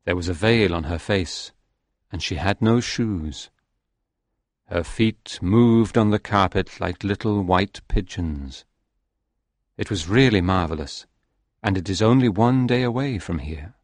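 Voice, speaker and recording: A man reading a story aloud in a slow, measured way, speaking as the wandering soul of a young fisherman. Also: sits low, no echo, clean studio sound. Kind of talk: audiobook reading.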